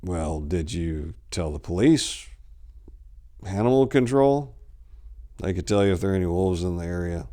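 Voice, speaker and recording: A man's voice: sits low, expressive, moderate pace, long pauses, dark tone. A man voicing a weary, reluctant parish priest with a hesitant, dismissive manner in a story reading. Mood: weary deflecting.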